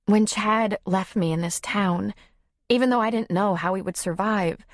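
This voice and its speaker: A woman voicing a tearful, timid young single mother who confides haltingly in an audiobook story. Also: medium pitch, no echo, clean studio sound, voice pushed up, lightly acted. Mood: confiding, vulnerable. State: tearful, sniffling.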